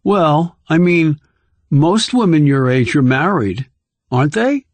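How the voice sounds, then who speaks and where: A man's voice: a little high, lively intonation, takes it slowly, dry recording, voice pushed up. A man voicing an earnest, curious, slightly awkward young boy in an audiobook. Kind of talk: audiobook character dialogue.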